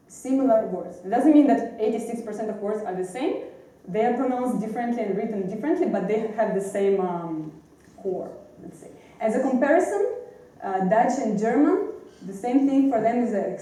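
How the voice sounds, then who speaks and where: A woman's voice: fairly high pitch, warm tone. A young woman from Ukraine with a Ukrainian accent, a native Russian speaker, giving a hesitant slide presentation on a debate panel, with many fillers and restarts. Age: young adult. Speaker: student panelist. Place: university lecture hall. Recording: echoey lecture hall from a distance.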